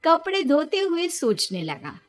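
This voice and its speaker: A woman's voice: very high-pitched, big pitch swings, unhurried, soft background music. A woman narrating a scripted children's story.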